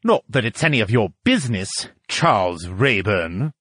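A man's voice: sing-song, normal speed, fairly bright tone, slightly rough, no room sound, studio quality, exaggerated voice. A man voicing a haughty, touchy male scientist in an audiobook, with a mocking, scornful manner. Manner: mocking, scornful, shrill when provoked. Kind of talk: audiobook character acting.

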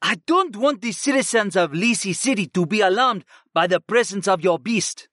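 Slow, formal city guard, as a man puts him on. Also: high-pitched, lively intonation, sharp tone, no room sound, studio quality, voice pushed up, exaggerated voice.

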